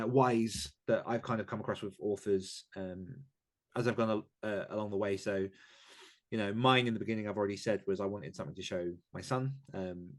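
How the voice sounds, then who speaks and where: Low-voiced man giving candid advice. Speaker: interview guest, author. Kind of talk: conversational advice on writing.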